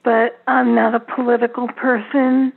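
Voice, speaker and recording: A woman's voice: fairly high pitch, little pitch movement, measured pace, vocal fry, husky. A woman chatting casually as a member of a book discussion group, over a tinny phone line.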